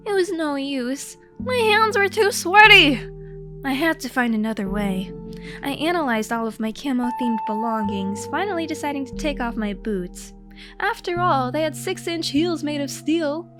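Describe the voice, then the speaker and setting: A woman's voice: high voice, lively intonation, normal speed, music in the background. A woman narrating a comedic diary story in a theatrical, mock-heroic, scripted style.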